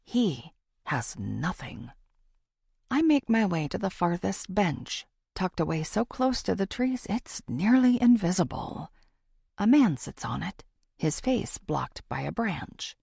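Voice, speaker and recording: A woman reading a first-person thriller audiobook in a dramatic, expressive way. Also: on the low side, gravelly, husky, no room sound, clean studio sound. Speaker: audiobook narrator.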